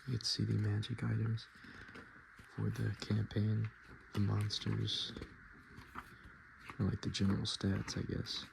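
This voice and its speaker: A man softly murmuring and whispering in a casual, rambling ASMR style as he hosts his video. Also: lowish voice, monotone, measured pace, creaky, noisy background. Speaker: ASMR video creator and host.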